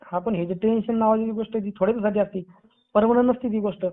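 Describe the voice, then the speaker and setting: A man's voice: high-pitched, fairly even intonation, rapid-fire. A man with a Marathi accent talking casually on a tinny phone call, reassuring and advising, often repeating his phrases. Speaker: friend giving reassurance. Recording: narrow tinny phone line.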